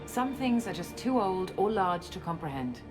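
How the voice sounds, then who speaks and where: Resolute, low-voiced female scientist, music behind. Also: sing-song, normal speed. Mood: grave. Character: resolute, guarded female scientist and human operative in space. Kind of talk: video game cutscene acting. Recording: music under the dialogue.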